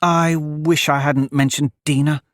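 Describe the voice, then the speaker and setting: Guy doing a curious humble little girl from a storybook, high voice, eager to please. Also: big pitch swings, measured pace, fairly bright tone, no echo, clean studio sound. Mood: melancholy. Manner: earnest and humble. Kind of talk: storybook character voice.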